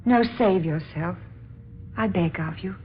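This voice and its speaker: A woman acting out a gracious young princess of another world in a radio drama. Her voice sits low and her diction is formal and earnest. The recording is muffled, with clear noise. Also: unhurried, natural voice. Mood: grave, pleading. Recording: muffled, noisy old-time radio recording.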